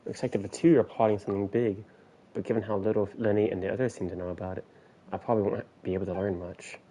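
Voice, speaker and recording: A man streaming a game, thinking out loud in a rambling way full of "like" and "you know". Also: fairly low pitch, fairly even intonation, fast talker. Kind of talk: casual let's-play commentary.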